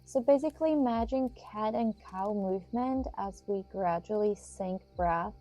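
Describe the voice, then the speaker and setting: Female yoga instructor, deeply relaxed warm tone, soothing measured guidance for meditation, music underneath.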